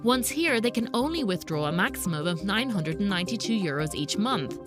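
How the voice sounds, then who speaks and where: A woman reporter narrating a business news documentary in a measured, explanatory way. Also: medium pitch, big pitch swings. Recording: voice-over with music bed.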